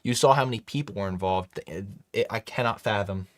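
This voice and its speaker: A man's voice: lowish voice, fairly quick. A man hosting a casual live stream, chatting loosely with many fillers and restarts while reading chat. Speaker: livestream host.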